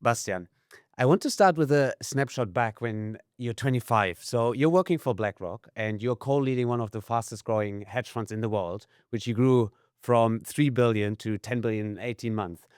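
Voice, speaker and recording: A man hosting an interview podcast, setting up his questions with a measured recap before asking. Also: medium pitch, a little mellow, smooth, no echo, clean studio sound. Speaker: podcast host.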